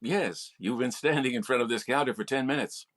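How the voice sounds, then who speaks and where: An actor playing a patient, talkative store clerk in an old-time radio comedy. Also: male voice, medium pitch, a little fast, fairly bright tone, a little hiss or hum, lightly acted. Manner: explanatory, patient. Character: chatty, helpful male store clerk.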